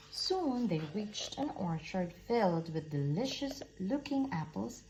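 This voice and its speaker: Female storybook reader, slow and animated with a creaky edge, faint noise in the background. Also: mid-range voice, poor audio. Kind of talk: expressive read-aloud children's story narration.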